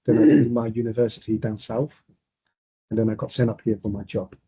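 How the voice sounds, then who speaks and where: This is a man in his forties with a husky, slightly breathy voice, talking easily as a guest on a podcast. His manner is relaxed and wry. The recording sounds dull and muffled. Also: fairly low pitch, a little fast. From Hong Kong. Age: 40s. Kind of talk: casual chat.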